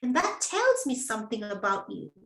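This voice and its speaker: A woman's voice: a little high, big pitch swings, moderate pace, a bit bright. A middle-aged woman coach hosting a motivational talk, speaking directly to her listeners, encouraging them and repeating herself for emphasis. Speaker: menopause coach and host. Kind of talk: live motivational talk to viewers. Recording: echoey room.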